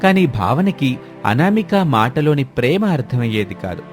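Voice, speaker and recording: A man's voice: mid-range voice, very animated, normal speed, soft background music. A man reading a scripted story as its narrator.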